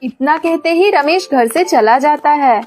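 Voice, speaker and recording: A woman's voice: high-pitched, expressive, unhurried, darkish tone, faint music behind. A woman narrating a scripted cartoon story for children.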